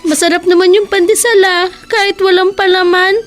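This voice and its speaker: A woman's voice: very high-pitched, level tone, brisk, faint music behind. A woman playing a poor, devoted young wife in a radio drama, anxious yet encouraging, with an emotional, coaxing delivery. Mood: coaxing, insistent. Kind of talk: Tagalog radio drama acting.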